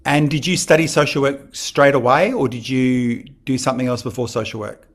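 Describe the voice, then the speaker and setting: An Australian man hosts a casual podcast chat, prompting his guest with easygoing, friendly questions. His voice sits at a middle pitch with a noticeable gravelly fry. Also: fairly even intonation, normal speed. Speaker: podcast host.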